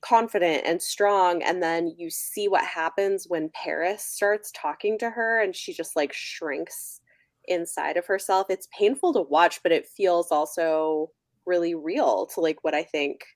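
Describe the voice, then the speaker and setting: A woman's voice: fairly low pitch, moderate pace, no room sound. A woman chatting casually and thoughtfully as a panelist in a book discussion. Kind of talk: casual chat.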